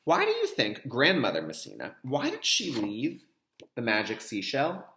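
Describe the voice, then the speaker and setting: A man reading aloud as a kindergarten teacher, expressive and dramatic, pausing to explain things to young listeners. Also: medium pitch, vocal fry, reverberant. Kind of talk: read-aloud storytelling with teaching asides.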